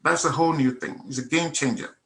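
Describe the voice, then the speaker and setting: Guy, middle-aged, bright voice, earnest testimony, hammers the same phrase over and over. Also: on the high side, a little fast, creaky, poor audio. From Nigeria. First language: Igbo. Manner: earnest, emphatic, repeats phrases for effect. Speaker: testimonial speaker. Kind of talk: personal testimony at a meetup.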